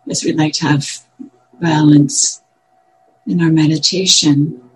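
A woman meditation teacher guiding a meditation in gentle, measured short phrases with long pauses. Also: low voice, fairly even intonation, low-quality recording. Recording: clear background noise, room ambience.

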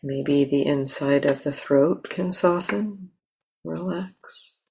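A woman teaching a guided meditation, speaking gently in short phrases with long pauses between them. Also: low-pitched, creaky, a little hiss or hum.

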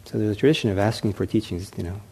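A middle-aged man giving a dharma talk as a meditation teacher, gentle and unhurried, often pausing with fillers and restarting his phrases. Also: on the low side, slightly rough. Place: meditation center hall.

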